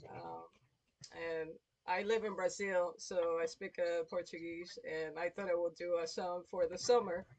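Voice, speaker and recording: A woman's voice: fairly low pitch, steady tone, unhurried, darkish tone, low-quality recording. A woman hosting a live show in casual, explanatory conversation. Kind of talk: casual chat. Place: online livestream call.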